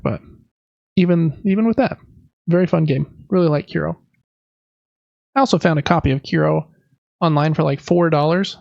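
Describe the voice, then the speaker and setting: A man's voice: fairly high pitch, gravelly, a little mellow, dry recording, muffled recording. A man co-hosting a casual podcast, describing board games in an informal, hesitant, self-deprecating way. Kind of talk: casual show-and-tell chat about board games.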